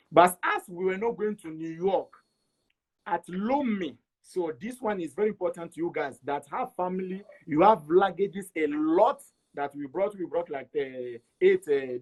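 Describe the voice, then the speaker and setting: Higher-voiced Togolese man telling his story. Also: a little mellow, rough recording. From Togo. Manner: repeats words for emphasis, acts out quoted dialogue. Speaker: interview guest. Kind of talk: animated personal storytelling.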